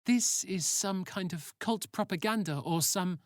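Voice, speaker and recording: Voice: mid-range voice, a little slow, no room sound, studio quality, pitched above the performer's own voice, close to an everyday voice. A narrator voicing a rebellious, desperate teenage boy in a story, earnest and pleading. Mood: anxious.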